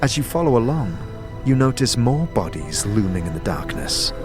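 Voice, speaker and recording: A man narrating a fantasy audio drama in the second person, dramatic and measured like a game master. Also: medium pitch, very animated, music in the background.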